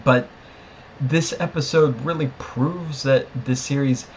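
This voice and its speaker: A man's voice: medium pitch, steady tone, takes it slowly. A man co-hosting a casual discussion show, talking in a wry, rambling, emphatic way. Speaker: podcast co-host. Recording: dull lo-fi audio with background noise.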